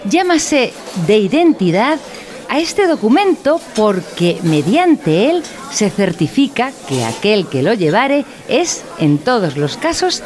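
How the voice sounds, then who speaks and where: A woman's voice: mid-range voice, very animated, slow, darkish tone. A woman playing a pedantic instructor in a mock-educational radio play, speaking formally and didactically. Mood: solemn, didactic. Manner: formal, didactic, pompous wording. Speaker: narrator in an audio drama.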